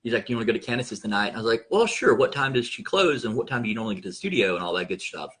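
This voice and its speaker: A man's voice: on the low side, rapid-fire. A man around forty, a local news reporter, chatting on a live show with dry wisecracks. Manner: dry, wisecracking, relaxed storyteller. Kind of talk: casual live show chat.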